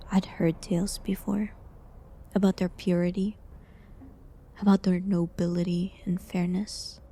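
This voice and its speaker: A woman playing a falsely accused village healer in a medieval audio drama, sad and resigned, speaking softly and hesitantly with pauses. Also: medium pitch, heavily acted. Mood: quiet, sorrowful. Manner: soft, hesitant, breathy delivery with pauses. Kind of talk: dramatic audio roleplay monologue, medieval setting.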